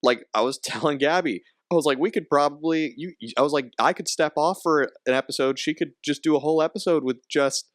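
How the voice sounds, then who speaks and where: A man's voice: fairly high pitch, a little fast, few pauses, no echo, clean studio sound. A young man hosting a casual podcast, rambling with frequent laughs and restarts. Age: young adult. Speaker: podcast host.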